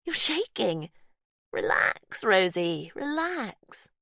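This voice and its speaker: A woman reading an audiobook, voicing an unhinged, childlike captor who speaks in a high, breathy, sweetly menacing sing-song. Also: very animated, takes it slowly, fairly bright tone, no echo, studio quality, heavily acted. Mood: creepy sweet.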